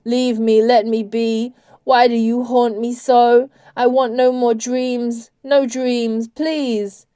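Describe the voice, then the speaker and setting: Woman voicing a volatile, high-pitched vampire master. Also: a little slow. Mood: pleading. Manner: sweetly coaxing turning to commanding shouts. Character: male vampire dark angel, cruel, wheedling, raging master.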